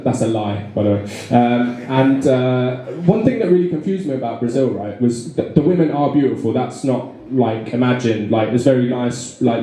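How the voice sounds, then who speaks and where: A man's voice: medium pitch, mellow. A young man with a London accent doing a stand-up set, rambling with lots of fillers and restarts, self-deprecating. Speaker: stand-up comedian. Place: small pub comedy room with a live audience. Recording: very echoey room. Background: audience laughter.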